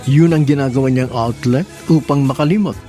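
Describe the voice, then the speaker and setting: A man's voice: mid-range voice, fairly quick, mellow, faint music behind. A man with a Filipino accent giving sentimental scripted narration for a radio drama.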